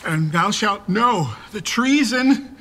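A stern old nobleman in a Shakespearean radio play. His voice is high and sharp, unhurried but vehement in its declamation. He sounds like an outraged, unforgiving father in a long-echoing hall. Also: lively intonation, a little hiss or hum, heavily acted. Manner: declamatory, vehement verse delivery. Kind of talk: Shakespearean radio drama acting.

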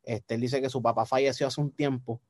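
A young man with a Puerto Rican Spanish accent, talking casually as a guest musician, relaxed and repeating words as he thinks. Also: mid-range voice, brisk, no echo, clean studio sound. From Puerto Rico. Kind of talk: casual interview chat.

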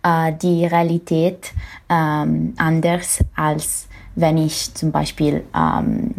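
A young Italian woman with a native Italian accent leaves a voice message, speaking very slowly and pausing often to search for words. Her voice sits low with a gravelly edge. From Italy. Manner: hesitant, many fillers, searching for words. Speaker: listener sending a voice message. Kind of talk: recorded voice message.

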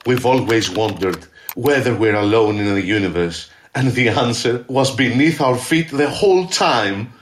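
A man's voice: medium pitch, lively intonation, moderate pace, hardly any pauses, a little hiss or hum, lightly acted. A man playing an earnest, enthusiastic scientist in an audio drama, speaking emphatically. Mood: proud, excited. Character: earnest, awestruck male scientist and excavator. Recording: roomy, echoing sound.

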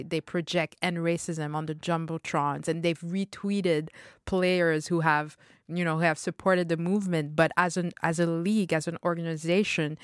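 A woman co-hosting a talk podcast with a smooth, resonant voice sitting a little low for a woman. She speaks in an animated, opinionated way and laughs easily, and the sound is close and dry. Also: dry recording. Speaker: podcast co-host. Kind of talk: conversational podcast discussion.

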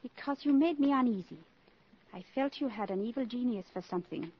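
An actress playing a proud, worldly, impoverished countess in a 1950s radio drama, with a haughty, dry, imperious manner. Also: female voice, on the high side, expressive, fairly quick, husky. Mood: proud, cool. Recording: muffled vintage 1950s radio recording.

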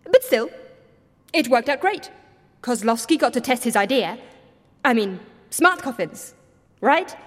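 A woman's voice: on the high side, very animated, measured pace, pauses often, in a large hall. An actress playing a sheepish, chirpy woman who designed a gadget and owns up to it.

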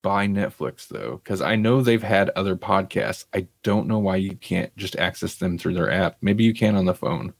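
A low-pitched man hosts a casual entertainment podcast in a dry, close recording. He moves between reading news items and offhand commentary, with a wry, self-deprecating streak. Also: moderate pace, vocal fry, few pauses, no echo. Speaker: podcast host. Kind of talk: casual entertainment news chat, partly reading articles aloud.

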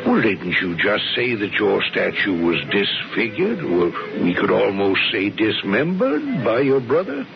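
An actor playing a dry, skeptical questioner in a 1970s radio drama, with a gravelly voice and a wry, probing manner. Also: male voice, fairly low pitch, wildly swooping pitch, measured pace, few pauses, exaggerated voice. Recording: dull radio broadcast, music behind.

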